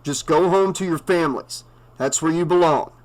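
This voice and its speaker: A man's voice: a little high, moderate pace, close to an everyday voice, rough recording. A man voicing a weary small-town sheriff who speaks firmly. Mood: stern. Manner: firm, commanding.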